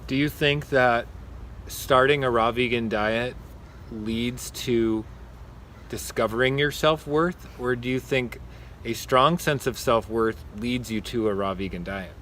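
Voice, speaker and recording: A man's voice: medium pitch, unhurried, fairly bright tone, a little hiss or hum. Earnest, affirming man hosting. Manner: earnest and affirming, speaking in short declarative statements. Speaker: host of a raw vegan channel. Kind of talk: casual interview chat.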